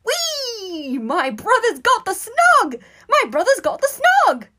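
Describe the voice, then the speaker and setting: A giddy, gullible young schoolboy from a storybook. The voice is squeaky and very high, swooping about with eager, easily flustered energy. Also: female voice, big pitch swings, moderate pace. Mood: giddy delight.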